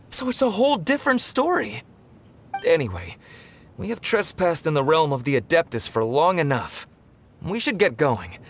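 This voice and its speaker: A man's voice: mid-range voice, a little hiss or hum. An actor playing an ordinary, nervous and humble man in a video game, speaking in a deferential, uneasy manner. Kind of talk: scripted video game voice acting.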